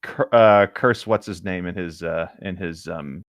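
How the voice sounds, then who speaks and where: A man casually reading a story aloud, pausing hesitantly to add chatty, joking comments. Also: deep voice, no echo, studio quality. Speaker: co-host reading and riffing on fan fiction.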